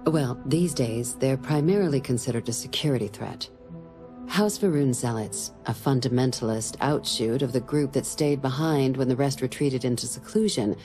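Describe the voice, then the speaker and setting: An actress playing a composed woman diplomatic official in a game, giving a briefing in a measured, formal, dry way. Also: a bass voice, mellow. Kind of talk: scripted game dialogue, mission briefing.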